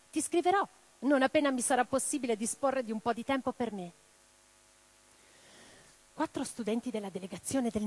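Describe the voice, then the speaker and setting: A woman's voice: high-pitched, no room sound, low-quality recording. An actress playing an impassioned, reflective female socialist revolutionary in a theatrical radio drama monologue, with a dramatic, declamatory delivery. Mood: earnest. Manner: declamatory, dramatic, swinging from hushed to forceful.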